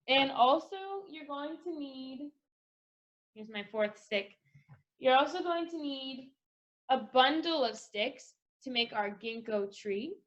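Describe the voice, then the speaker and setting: A woman presenting a casual step-by-step craft tutorial for children, speaking in an instructional way with pauses between phrases. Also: sits high, muffled recording. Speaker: craft presenter for a children's read-aloud project.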